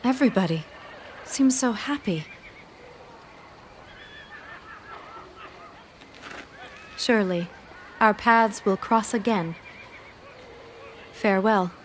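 A woman's voice: mid-range voice, unhurried, a little hiss or hum, lightly acted. An actress playing a poised, dutiful young noblewoman of ancient China in a video game, speaking formally and courteously. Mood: pensive. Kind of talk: dubbed video game cutscene acting.

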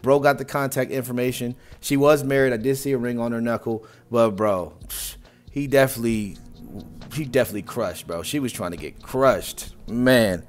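A man's voice: medium pitch, moderate pace. A young man hosting a reaction video from a small room at home, talking casually and mockingly into a wired earphone mic. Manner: casual and mocking, says "bro" often. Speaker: reaction channel host.

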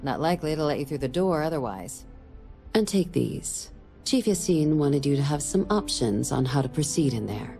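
An actress playing a composed woman diplomatic official in a game, giving a briefing in a measured, formal, dry way. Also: low-pitched, expressive, warm tone, faint music behind. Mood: businesslike. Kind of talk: scripted game dialogue, mission briefing.

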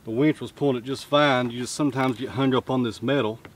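A man's voice: medium pitch, steady tone, fast, a little hiss or hum. A man in his thirties explaining his equipment step by step in a plain, practical way, recorded outdoors on a camera mic. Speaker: channel host. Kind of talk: casual how-to explanation. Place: outdoors by a road with trees.

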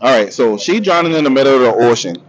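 A man's voice: medium pitch, expressive, fast, dull audio. A man with a Southern US accent asking casual, slangy questions as a street interviewer, outdoors on a city street with a handheld mic. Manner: laid-back slangy questioning.

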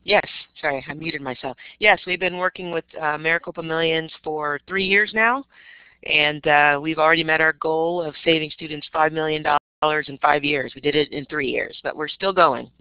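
A woman's voice: sits low, moderate pace, smooth, no room sound, highs cut off. A woman English professor answering audience questions in a webinar, conversational with some ums. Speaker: English professor, guest speaker. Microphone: web call.

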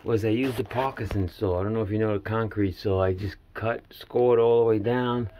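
Man, middle-aged, lowish voice, casual rambling DIY walkthrough, phone recording outside, faint outdoor hiss. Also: steady tone, moderate pace, mellow. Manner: plainspoken, rambling, working-man talk. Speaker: DIY home builder filming his project. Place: outdoors at a house, in a dug-out basement stairwell. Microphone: handheld phone camera.

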